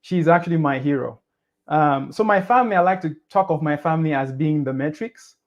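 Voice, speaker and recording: A man, a podcast guest, telling his life story in a casual, animated way, pushing his voice up on the points he stresses. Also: on the high side, a little fast, highs cut off. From Cameroon.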